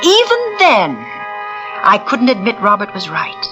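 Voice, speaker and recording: Scheming woman medium, 1940s radio play, theatrical, animated pitch, music behind. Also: measured pace, highs cut off. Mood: brooding. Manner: intense, theatrical, rising to screaming hysteria. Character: scheming woman medium, proud, cornered into terror. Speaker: lead actress in an old radio drama. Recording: vintage radio recording with music.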